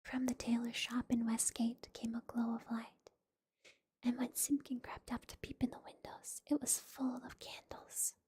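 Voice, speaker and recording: Softly whispering woman reading a storybook. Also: on the high side, flat delivery, husky. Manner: slow, gentle, deliberate reading. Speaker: storyteller streamer.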